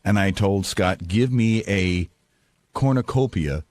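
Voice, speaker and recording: Lower-pitched man chatting, dry studio. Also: slow pace, a little mellow, no echo. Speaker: radio show sound effects man. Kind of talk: casual anecdotal radio chat.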